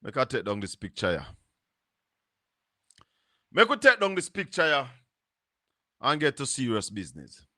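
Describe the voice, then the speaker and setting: A Jamaican man with a Jamaican accent hosting a live stream, musing slowly and slipping into patois. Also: medium pitch, wildly swooping pitch, sharp tone, dry recording, studio quality. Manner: slow, musing, drops into patois. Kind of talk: casual live-stream commentary.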